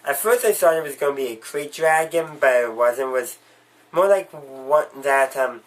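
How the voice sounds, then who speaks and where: Guy still getting over a cold, chatty review, keeps restarting his sentences, middle pitch. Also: normal speed. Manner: rambling, with frequent restarts and fillers. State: recovering from a cold. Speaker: video reviewer. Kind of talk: casual spoken review.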